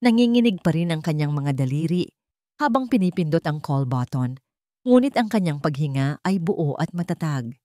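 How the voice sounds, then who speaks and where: A woman with a low, mellow voice tells a dramatic story in a steady, deliberate way. She is recorded close to the mic, dry, with no room sound. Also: no echo. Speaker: narrator. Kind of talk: scripted story narration.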